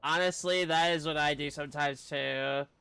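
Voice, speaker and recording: Bright, clear male game streamer. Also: on the high side, fairly even intonation, normal speed, dry recording. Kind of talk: casual live-stream commentary. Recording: faint game music behind. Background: game audio with music.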